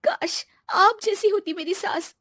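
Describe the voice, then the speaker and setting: An earnest, aggrieved young daughter-in-law played by a woman in a cartoon. Her voice is very high and complaining, with a dry sound. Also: unhurried, fairly bright tone, no echo. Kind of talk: animated cartoon dialogue in Hindi.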